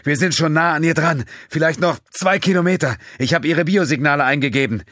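A man's voice: a little high, brisk, fairly bright tone, no echo, studio quality, voice pushed up, natural voice. A man voicing a desperate, injured male father who pleads urgently for help.

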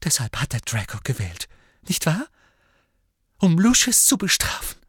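A man voicing a desperate, pleading middle-aged witch, a frightened mother, in a breathy, tearful whisper for an audiobook story. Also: a little high, huge pitch swings, measured pace, fairly bright tone, dry recording, studio quality, voice pushed up, heavily acted. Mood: despairing. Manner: imploring, broken phrasing.

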